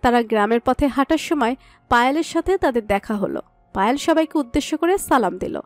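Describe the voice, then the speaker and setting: A woman's voice: high-pitched, animated, fast, no echo. A woman narrating a romantic audio story from a script. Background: background music.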